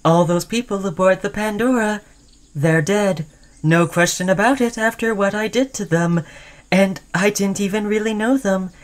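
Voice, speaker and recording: Lovestruck sentient robot played by a woman, mid-pitched, clingy and earnest, pleading with a veiled threat, for an intimate sci-fi audio roleplay. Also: normal speed, close to an everyday voice. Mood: quietly menacing. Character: obsessed, lovestruck sentient robot, clingy, caring yet menacing. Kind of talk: roleplay audio drama, intimate second-person monologue.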